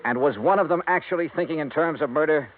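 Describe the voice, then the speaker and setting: Actor as hard-boiled noir detective, tinny old radio. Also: male voice, fairly high pitch, quick pace, slight background noise, close to an everyday voice. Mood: wry, brooding. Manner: dry, sardonic, clipped. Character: wry, hard-boiled male freelance insurance investigator. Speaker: lead actor and narrator. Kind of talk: 1950s radio noir acting, narration. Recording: old radio broadcast, tinny, music behind.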